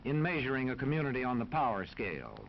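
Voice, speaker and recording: An American man narrating a scripted 1940s educational film in a formal, authoritative, measured manner. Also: medium pitch, very animated. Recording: dull 1940s film soundtrack, faint noise.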